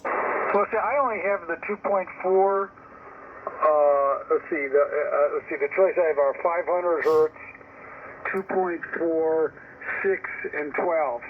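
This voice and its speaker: Over a thin, narrowband radio link with clear noise, a man chats casually about technical matters. He sounds hesitant and questioning, like a hobby operator working things out. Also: fairly high pitch, slow. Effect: radio transmission. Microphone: heard over a radio link.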